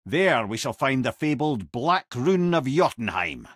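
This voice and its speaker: Old Scottish sage, game character, husky, very animated pitch, wry garrulous storyteller, dry close sound. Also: male voice, unhurried, no room sound, heavily acted. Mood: explaining. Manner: wry, wordy, theatrical storytelling.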